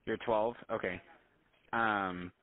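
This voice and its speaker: A man speaking as a doctor on a phone call, polite, halting and hesitant. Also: on the low side, steady tone. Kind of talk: phone consultation. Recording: tinny phone line.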